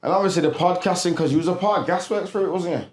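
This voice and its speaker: A man hosting a podcast, giving short relaxed replies and easy slang. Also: sits high, very fast. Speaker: podcast host. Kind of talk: casual interview chat. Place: recording studio. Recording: roomy studio with faint noise.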